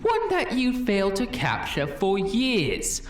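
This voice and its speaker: A bitter, mocking voice inside someone's head, acted out for a fan audio drama, swooping widely in pitch with sarcastic theatrical flourishes. It rings in a roomy echo. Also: female voice, huge pitch swings, takes it slowly. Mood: scornful. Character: bitter, mocking inner voice of an erased time-traveling Doctor.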